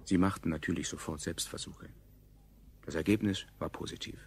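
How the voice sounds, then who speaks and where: A detached male narrator of a dystopian science fiction radio play. His voice is very low with a gravelly creak, and he tells it in a measured, dryly ironic way. The 1970s recording carries faint noise. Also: a little mellow. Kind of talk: scripted narration, 1970s German radio drama.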